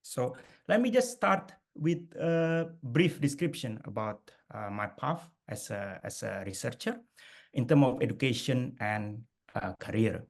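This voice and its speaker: A middle-aged man from Indonesia presents over a video call. He talks in a hesitant way, full of fillers and restarts, with a voice of ordinary pitch for a man. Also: expressive, measured pace, gravelly. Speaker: guest speaker. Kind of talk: webinar presentation.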